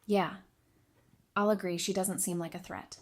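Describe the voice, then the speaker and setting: A woman's voice: fairly low pitch, fairly even intonation, measured pace. A woman playing a perceptive, candid advisor in an audio drama, talking in a thoughtful, frank way. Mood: thoughtful, guarded. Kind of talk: fan audio drama dialogue.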